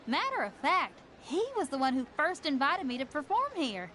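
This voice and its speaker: A woman's voice: high voice, very animated, moderate pace, bright, forceful tone, slight background noise, full character voice. A woman playing a spirited, easygoing young rock musician in a video game, folksy, confident and friendly. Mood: reassuring.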